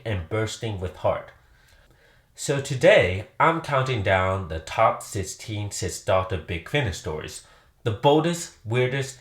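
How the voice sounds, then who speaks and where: Male countdown host, lowish voice, lively swooping intonation, enthusiastic scripted narration, emphatic phrasing. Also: reverberant. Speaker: host presenting a ranked countdown.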